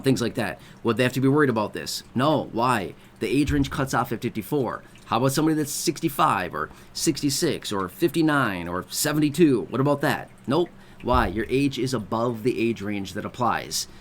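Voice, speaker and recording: A man's voice: medium pitch, slight background noise. Chatty man explaining to viewers. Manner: conversational, keeps checking in with the listener. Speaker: video host explaining benefits. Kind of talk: casual explainer talk.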